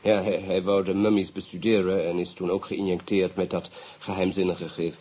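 An actor playing a polite, reserved British police inspector in a radio drama, with an English accent, a husky voice and a hesitant, guarded manner. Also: male voice, deep voice, level tone, normal speed, a little mellow, muffled recording, a little hiss or hum, natural voice. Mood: matter-of-fact.

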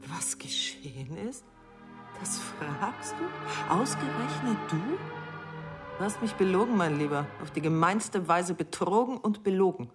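Radio drama: haughty middle-aged wife, mercenary and mocking, lowish voice, highly animated delivery. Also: female voice, measured pace, music in the background. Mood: scornful, bitter. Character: scornful, mercenary middle-aged wife ending her marriage. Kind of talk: radio play acting.

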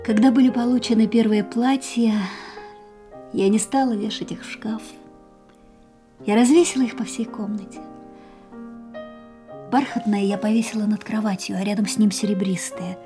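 A woman's voice: mid-range voice, steady tone, moderate pace, long pauses, mellow, natural voice. An actress playing a restless, dreamy, haunted young woman in an intimate radio drama. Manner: introspective, literary, dramatic delivery. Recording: radio play with music underneath. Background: music.

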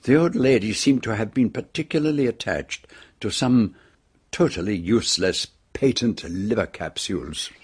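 An actor playing a courteous, shrewd Belgian detective with a French-speaking accent, formal and measured, in a radio drama. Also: male voice, on the low side, animated, slightly rough. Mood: measured, probing. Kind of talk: radio drama acting and narration.

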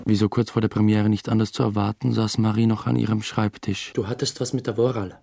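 A brooding, suspicious man of the theatre in a radio drama. His Austrian-accented voice is low and creaky. He tells things intimately, with dry understatement. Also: flat delivery, brisk, dark tone. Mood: uneasy, suspicious. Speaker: actor narrating a radio drama in the first person. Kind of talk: German-language radio drama acting, intimate narration.

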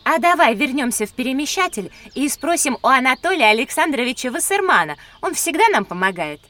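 A woman's voice: high-pitched, very animated, brisk, pushed and bright, exaggerated voice. A woman voicing a sweet, curious young schoolgirl in a children's educational audio drama, full of wonder and questions. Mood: eager.

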